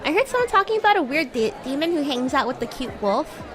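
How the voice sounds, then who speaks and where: A woman's voice: high-pitched, sing-song, fast talker, crowd noise. A woman streamer improvising a roleplay as a bratty demon schoolgirl classmate, with a playful, teasing, giggly manner. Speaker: VTuber streamer. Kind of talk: improvised roleplay livestream chat.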